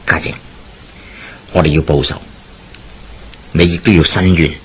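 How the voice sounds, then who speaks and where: A man playing a confident schemer bent on justice in a Hong Kong Cantonese radio drama, explaining persuasively in a husky voice. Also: low-pitched, steady tone, measured pace. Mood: plotting, determined.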